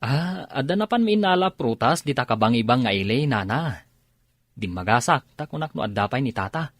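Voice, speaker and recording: Earnest, husky young laborer. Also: male voice, medium pitch, animated, moderate pace, no room sound, clean studio sound. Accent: Filipino. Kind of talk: Filipino radio drama acting.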